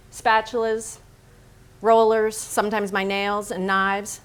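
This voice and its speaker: An intense self-assured painter guy in a stage drama, short deliberate declarative lines, blunt. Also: medium pitch, a bit bright. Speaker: stage actor. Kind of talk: theatrical staged reading.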